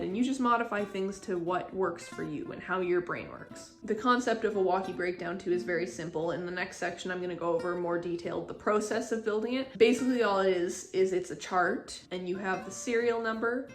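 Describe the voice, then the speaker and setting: Female instructor, young adult. Informal explainer read, mid pitch, echoey living-room sound with faint music. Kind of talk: casual instructional video talk. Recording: roomy indoor echo, music behind.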